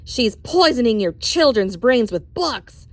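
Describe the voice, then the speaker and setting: A woman voicing an angry man who leads a mob, shouting accusations in a storybook reading. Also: fairly high pitch, big pitch swings, measured pace, no room sound. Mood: furious accusing. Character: angry male mob leader rousing townspeople.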